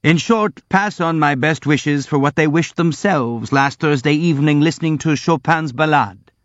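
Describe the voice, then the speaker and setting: A man's voice: a little high, normal speed, no echo, lo-fi sound, voice pushed up, natural voice. A man playing a pompous, blustering old general. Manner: grandiloquent, effusive, self-pitying. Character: elderly blustering boastful army general, pompous.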